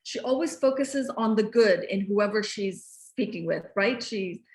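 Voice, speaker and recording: A woman's voice: medium pitch, unhurried, a little mellow, echoey room. A woman hosting a video call for a charity, chatting in a friendly way with plenty of "um"s and asking the cook questions. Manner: friendly, asks questions, filler-heavy. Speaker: host from the charity. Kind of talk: casual video call chat.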